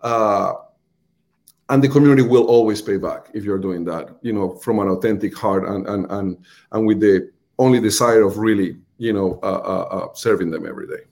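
A man's voice: on the low side, normal speed, gravelly, darkish tone. A man with a Colombian accent, a restaurant marketing executive, explaining earnestly as an interview guest, with frequent fillers and repeated words.

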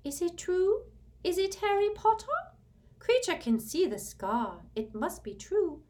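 A shrill, drawn-out old male house-elf, as a woman reader puts him on, muttering with spite and fawning servility. His voice climbs high and slows into lingering whines, swinging widely in pitch. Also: very animated, darkish tone, heavily acted. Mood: muttering resentfully. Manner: furious hissing mutter, bowing servility. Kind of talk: audiobook character voice.

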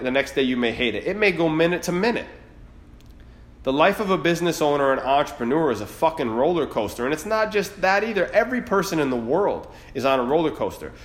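A man in his late thirties hosting a podcast, giving a blunt, fired-up motivational monologue full of swearing. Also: fairly high pitch. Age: 30s. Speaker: podcast host. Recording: roomy echo.

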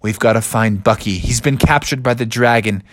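A man's voice: mid-range voice, normal speed, a bit bright, dry recording, clean studio sound, lightly acted. A man voicing an eager young boy frog who sounds anxious and earnest. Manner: earnest, anxious, breathless questions.

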